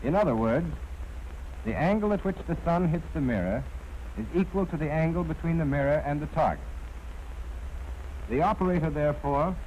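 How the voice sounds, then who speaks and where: An American man reading scripted instructional narration in a formal, measured, precisely enunciated way. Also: a little high, a little mellow. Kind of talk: scripted training film narration. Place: narration recorded for an archival film. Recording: dull, noisy 1940s film soundtrack.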